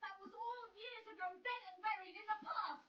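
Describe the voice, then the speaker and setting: An actress playing a scornful, defiant glamorous woman, shrill and theatrical, in a vintage radio drama, muffled and distant. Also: fairly even intonation, a little fast.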